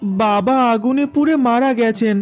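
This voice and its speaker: A man's voice: very high-pitched, a little slow, faint music behind. A man voicing Raju's part in a cartoon, a poor, hardworking and polite village boy who sounds earnest and plaintive. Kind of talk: cartoon story dialogue.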